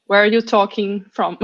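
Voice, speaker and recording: A woman's voice: mid-range voice, fairly even intonation, unhurried, muffled recording. A woman moderating an online panel over headphones, introducing guests carefully, with small laughs. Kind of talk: hosting a video panel.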